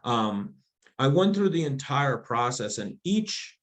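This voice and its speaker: A man guest on a podcast, telling his story in a casual, animated way, laughing often and restarting his sentences. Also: medium pitch, takes it slowly. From Afghanistan, raised in the United States.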